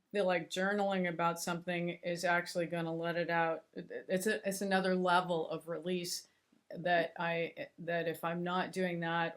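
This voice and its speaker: Gently musing woman host. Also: on the low side. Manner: gentle, musing, with fillers and restarts. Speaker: interview host. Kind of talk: interview conversation.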